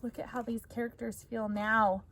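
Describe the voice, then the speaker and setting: A woman's voice: a little high, flat delivery, normal speed, fairly bright tone. A woman teacher reading a story aloud to children, explaining as she goes and prompting them with questions.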